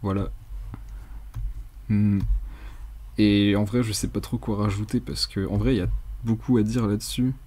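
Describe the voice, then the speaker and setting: Mellow, husky young man explaining casually. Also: fairly low pitch, fairly even intonation, moderate pace, pauses often. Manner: hesitant, rambling, colloquial, self-deprecating. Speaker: tutorial presenter. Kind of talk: casual unscripted software screencast tutorial.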